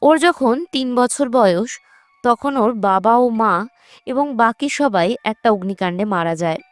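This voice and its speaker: High-voiced woman narrating a story. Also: animated, moderate pace, dry recording. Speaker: narrator of a movie recap channel. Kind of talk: scripted storytelling narration of a horror film recap. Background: background music.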